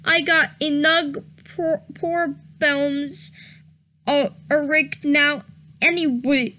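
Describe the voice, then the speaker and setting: A woman's voice: sits high, fairly even intonation, slow, sharp tone, a little hiss or hum. A woman doing a comedic dramatic reading of a story, giggly and prone to stumbling over the words. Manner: giggly, often breaking into helpless laughter; stumbles over misspelled words read literally. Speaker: reader of a fan fiction story.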